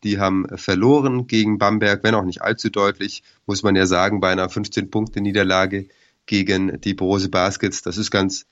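Low-voiced guy with a cold, kinda hoarse, lots of ähs, muffled lo-fi sound. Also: little pitch movement, normal speed, fairly bright tone. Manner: hesitant, many filler sounds. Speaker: podcast co-host. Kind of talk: casual sports chat.

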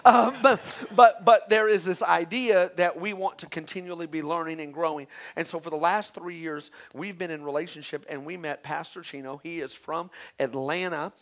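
A male pastor introducing a guest preacher, energetic and rallying the congregation. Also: fairly high pitch, normal speed, few pauses. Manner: energetic, hypes the crowd.

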